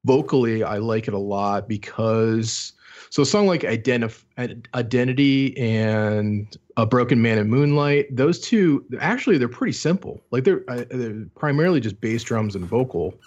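A man's voice: mid-range voice, expressive, moderate pace, darkish tone, muffled recording. A man co-hosting a podcast, talking through an album in a thoughtful, meandering way with many restarts. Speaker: podcast co-host. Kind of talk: casual album discussion.

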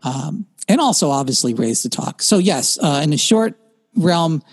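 A man's voice: fairly high pitch, very animated, normal speed, breathy edge. A man co-hosting a tech podcast, explaining at length in a rambling way, with frequent fillers and restarts. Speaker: podcast co-host. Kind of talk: casual tech chat.

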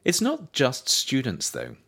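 A man reading his own nonfiction book aloud as scripted narration, with measured, deliberate phrasing. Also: mid-range voice, huge pitch swings, a bit bright, breathy edge.

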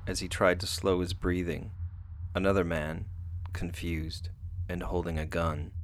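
Very deep male narrator, somber and measured. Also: level tone, a little hiss or hum. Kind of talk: scripted dramatic narration for radio theatre.

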